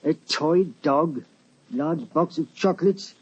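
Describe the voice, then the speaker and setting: An actor playing an anxious, bewildered English lord, agitated and exclamatory, in a 1940s radio drama. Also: male voice, on the high side, takes it slowly, slightly rough. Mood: alarmed. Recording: muffled old-time radio recording.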